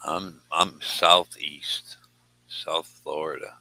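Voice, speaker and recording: A man's voice: a bass voice, fairly even intonation, slow, sharp tone, dry recording, poor audio. A man chatting informally as a guest on a live stream, often repeating himself. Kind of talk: casual chat.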